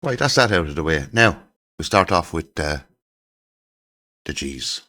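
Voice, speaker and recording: A middle-aged man, croaky from a cold, chatting casually and with enthusiasm as he shows his CD collection. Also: a bass voice, sing-song, a little slow, sharp tone. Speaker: channel host showing his CD collection. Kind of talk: casual chat to camera.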